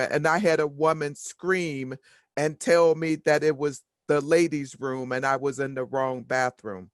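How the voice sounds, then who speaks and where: A woman with a deep voice, a workshop attendee sharing a personal story over a video call, halting and repeating her words. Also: no echo, clean studio sound.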